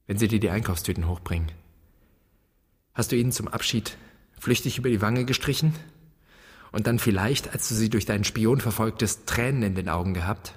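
Casting: scornful, questioning son in a radio play, lowish husky voice, long reverb around it. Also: male voice, fairly quick. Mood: probing. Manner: probing, scornful. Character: restless resentful son interrogating his absent dead father. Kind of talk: literary radio drama. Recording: long reverberant hall sound.

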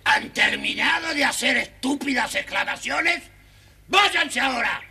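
Squeaky, creaky, grumpy little dwarf. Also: male voice, expressive, measured pace, bright, forceful tone, full character voice. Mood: peevish complaining. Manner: whining, scolding, exclamatory. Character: grumpy, ungrateful, greedy little male dwarf. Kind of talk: children's audio storybook acting. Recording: noisy old children's record.

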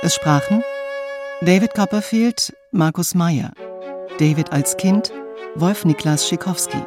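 A woman announcer reading scripted radio drama credits in a measured, formal way. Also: fairly low pitch. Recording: prominent violin music behind. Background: violin music.

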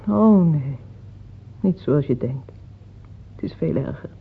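Husky, earthy middle-aged motherly mistress. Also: deep voice, expressive, heavily acted. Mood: reproving, weary. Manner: tender, teasing, unhurried, frank. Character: earthy, plain, motherly ageing mistress, frank and serene. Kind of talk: Dutch radio play acting. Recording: muffled tinny old radio play recording.

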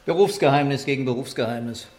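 Actor as a calm, wry police investigator. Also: male voice, mid-range voice, fast talker, natural voice. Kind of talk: radio drama acting.

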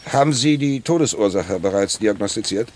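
An actor playing a brisk, authoritative public prosecutor in a radio crime drama, speaking in clipped, formal dictation. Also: male voice, on the low side, animated, lightly acted. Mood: brisk, commanding. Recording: noisy outdoor ambience with birds.

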